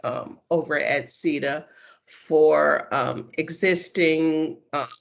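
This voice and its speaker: A woman training coordinator introducing herself on a video call, speaking slowly and deliberately. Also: deep voice, vocal fry. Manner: slow and deliberate, occasional laughs.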